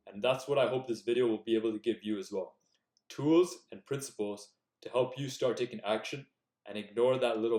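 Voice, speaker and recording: Young guy giving motivational advice straight to camera, kinda low voice, echoey room. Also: darkish tone. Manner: earnest, direct, coaching tone. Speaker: self-improvement YouTuber. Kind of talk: casual motivational talk to camera.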